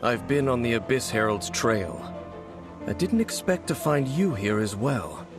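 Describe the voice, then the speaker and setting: A man voicing a serious, reserved, mysterious swordsman in a video game, speaking in a measured, formal, stoic manner. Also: medium pitch, lively intonation, natural voice. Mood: grave, composed. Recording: clear music behind. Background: game music.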